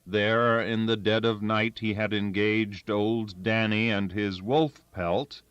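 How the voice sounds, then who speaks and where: A man narrates a story at a slow, measured pace in a lowish voice. It is scripted audiobook reading. The sound is very dry and somewhat dull. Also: steady tone, a bit bright, clear, resonant tone, dry recording. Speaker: audiobook reader.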